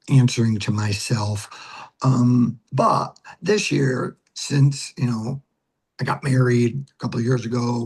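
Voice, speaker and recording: A man talks in an interview as an acting teacher and director. His voice sits at a middle pitch with a slightly rough, unpolished edge. He explains in a conversational but emphatic way, repeating short phrases to make his point. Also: a little mellow, poor audio.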